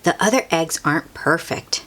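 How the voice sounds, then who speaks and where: A woman reading a children's picture book aloud as a storyteller, expressively and theatrically, with drawn-out emphasis. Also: low-pitched, lively intonation, a bit bright.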